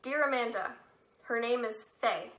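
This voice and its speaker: Woman acting regretful teenage girl, wry, highish bright voice, echoey room, stage drama letters. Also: lively intonation, unhurried. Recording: echoey room with light noise.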